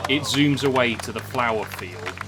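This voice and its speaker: A man's voice: medium pitch, normal speed, vocal fry, over music, crowd noise, low-quality recording. A man narrating a scripted fantasy story in a dramatic storytelling manner.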